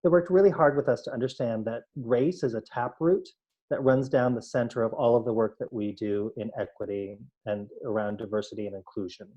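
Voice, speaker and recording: A man's voice: mid-range voice, brisk, warm tone, lo-fi sound. A man explaining emphatically at a board meeting over a video call, with many fillers. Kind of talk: spoken remarks at a board meeting.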